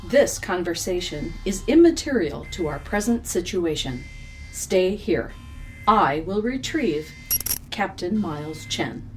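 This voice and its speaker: A woman voicing a female spaceship computer in an audio drama, speaking in a clipped, formal, deadpan way. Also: fairly low pitch, takes it slowly, soft background music. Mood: dry, aloof. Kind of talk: scripted audio drama acting.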